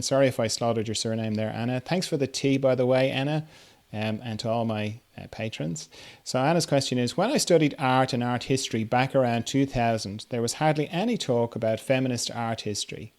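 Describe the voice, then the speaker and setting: A man's voice: medium pitch, normal speed, a little mellow. Guy hosting a laid back q&a podcast, mid-range voice, informal and a bit hesitant. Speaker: podcast host. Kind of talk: casual interview chat, reading listener questions.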